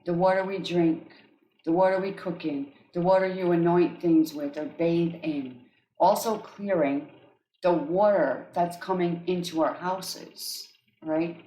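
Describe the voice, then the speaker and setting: A woman with an accent, an energy healer speaking gently and often checking in with her listeners as she describes guided healing tracks. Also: lowish voice, fairly even intonation, unhurried. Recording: echoey room.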